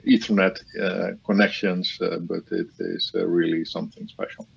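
A man talks slowly through technical answers, pausing often on fillers. His voice is very low, flat and gravelly, a little husky, and the recording sounds dull. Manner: measured, halting explanations with many fillers. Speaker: head of a supercomputing department.

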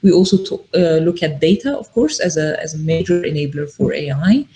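A middle-aged woman from Egypt, a podcast guest, explaining her work in a measured way with frequent ums. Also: deep voice, dark tone. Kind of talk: casual interview talk.

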